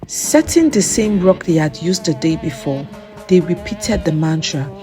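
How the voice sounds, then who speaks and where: A woman calmly narrating a scripted folktale for children. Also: sits low, a little slow, soft background music. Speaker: storyteller.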